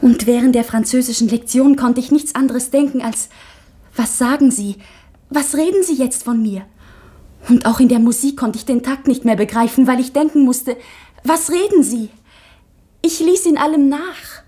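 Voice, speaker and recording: Young convent girl, high breathy voice, earnest, kinda shaky. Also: mellow. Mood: confiding. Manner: intense, confiding, halting with emotion. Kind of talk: 1960s radio drama acting.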